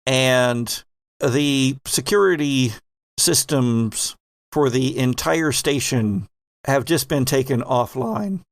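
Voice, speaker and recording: A man with a gravelly, creaky voice works as a tabletop game master, recorded close and very dry. He improvises the story conversationally, speaking straight to his players. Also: medium pitch, slow pace, dry recording. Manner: conversational narration, addresses players directly.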